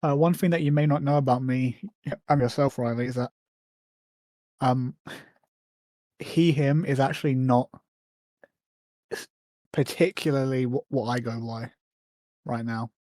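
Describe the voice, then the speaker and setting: A man's voice: medium pitch, fairly even intonation, measured pace, long pauses, a little mellow, no echo. Hesitant man with a dry sound. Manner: hesitant, many ums and restarts. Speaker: podcast co-host. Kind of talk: casual chat.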